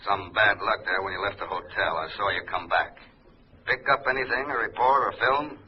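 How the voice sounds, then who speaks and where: Dull telephone voice of a terse agent. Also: male voice, fairly low pitch, normal speed, a little hiss or hum. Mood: terse, discreet. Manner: terse, businesslike. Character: brisk, discreet FBI agent on the phone. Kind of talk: 1950s spy radio drama acting. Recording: dull, distant old radio phone line.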